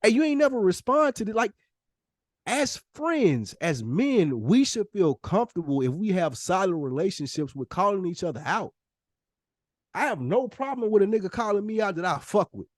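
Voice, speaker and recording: A man's voice: high-pitched, very animated, moderate pace, dry recording, clean studio sound. An American man co-hosting a podcast in casual, slangy, emphatic talk, often acting out imagined dialogue. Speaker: podcast co-host.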